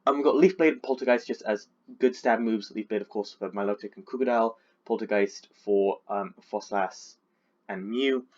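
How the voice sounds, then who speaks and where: A man's voice: fairly low pitch, normal speed, highs cut off. A man with a sore throat from being sick, chatting casually and rambling with lots of fillers as he breaks down his team. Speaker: competitive Pokemon league coach.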